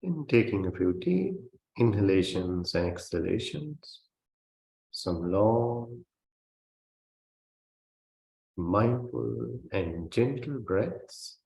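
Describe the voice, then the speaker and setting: A man who is a Buddhist meditation teacher, guiding a meditation in a gentle, measured way with long pauses between phrases. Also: on the low side, animated, gravelly. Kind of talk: guided meditation. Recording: roomy, reverberant sound.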